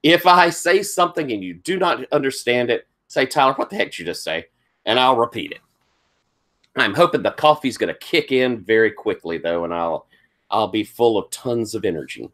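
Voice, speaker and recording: A man's voice: medium pitch, lively intonation, moderate pace, fairly bright tone. A tired man with a thick Southern US accent, politely walking players through tabletop game setup as game master. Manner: polite, accommodating. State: tired from lack of sleep.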